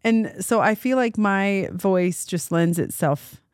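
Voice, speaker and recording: A woman's voice: mid-range voice, lively intonation, slow pace, clear, resonant tone, no room sound, studio quality. A woman co-hosting a casual church podcast, playful and teasing, with lots of short agreeing replies. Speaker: podcast co-host.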